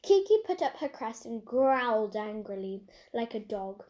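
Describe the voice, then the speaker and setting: High-pitched woman reading a storybook. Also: very animated, measured pace, dark tone. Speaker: storybook reader. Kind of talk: expressive children's audiobook narration.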